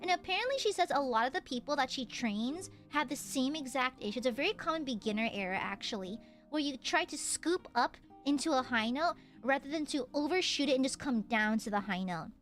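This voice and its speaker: A young woman streamer chatting casually, with a clear, slightly nasal voice. She laughs often. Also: sits high, lively intonation, fairly quick, a bit bright, faint music behind. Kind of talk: casual chat.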